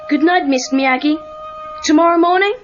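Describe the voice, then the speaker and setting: An actor playing an eager, wisecracking American teenage boy in film dialogue, with an animated, sarcastic way of talking. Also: extremely high pitch, normal speed, soft background music. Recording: dull lo-fi cassette tape.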